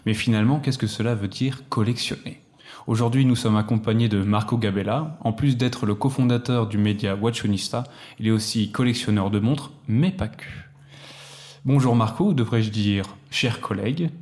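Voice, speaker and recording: A man hosting a podcast interview, friendly and conversational, often pausing with hesitant fillers. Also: fairly low pitch, a little mellow.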